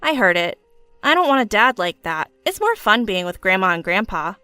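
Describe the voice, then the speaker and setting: A woman's voice: mid-range voice, very animated, moderate pace, pushed and bright, clear, resonant tone, no echo, faint music behind. A woman voicing an easygoing little boy with a simple, childlike way of talking in an audio story. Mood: matter-of-fact.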